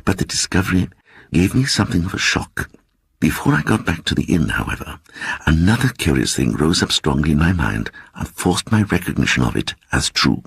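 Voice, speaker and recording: An actor voicing a timid little man who earnestly and softly recounts an eerie adventure in a dramatic audiobook reading. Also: very deep voice, a little fast, creaky, slightly rough, no room sound, heavily acted. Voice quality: soft voice. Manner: hesitant, earnest, growing excited.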